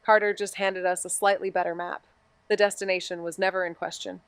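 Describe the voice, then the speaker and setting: A woman's voice: fairly low pitch, level tone, a little fast, smooth, dry recording, close to an everyday voice. An actress playing a blunt, sardonic young woman detective's sidekick in a noir audio drama, casual, skeptical and quippy. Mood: skeptical, wry.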